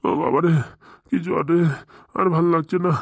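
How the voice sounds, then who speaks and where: Cartoon casting: male actor for middle-aged ailing teacher, self-pitying moans, flat intonation, dry studio sound. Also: a little high, moderate pace, vocal fry, no echo. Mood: feverish moaning. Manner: moaning, plaintive. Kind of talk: comic cartoon voice acting.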